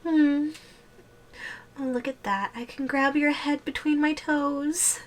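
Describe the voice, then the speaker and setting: Woman acting a slow, teasing giantess girlfriend, higher-voiced. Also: fairly even intonation. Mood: playful teasing. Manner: slow, playful, teasing delivery with hums and sighs. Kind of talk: ASMR audio roleplay acting.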